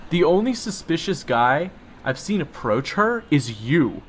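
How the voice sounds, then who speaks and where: Un homme qui joue un jeune copain colérique et agressif. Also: male voice, a little high, very animated, unhurried, a little hiss or hum, voice pushed up. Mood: aggressive. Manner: aggressive, loud, insulting. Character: hot-headed young boyfriend picking a fight.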